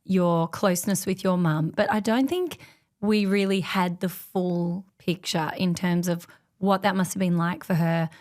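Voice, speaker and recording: A woman's voice: fairly low pitch, a little slow, a little mellow. A woman hosting an interview, asking questions in an inquisitive, encouraging way. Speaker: host. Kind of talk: interview host.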